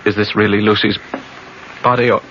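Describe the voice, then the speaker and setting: An actor playing a distraught, doubting young lover with a faltering, melodramatic delivery in an old-time radio drama. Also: male voice, fairly low pitch, little pitch movement, a little fast, close to an everyday voice. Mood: shaken. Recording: muffled noisy old radio recording.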